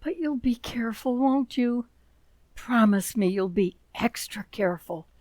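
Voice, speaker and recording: A woman's voice: fairly high pitch, a little slow, a little mellow, dry recording, lightly acted. A woman playing a worried, doting older mother in an old-time radio drama, pleading hesitantly. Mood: worried, tearful. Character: worried, tearful, doting mother of a grown son.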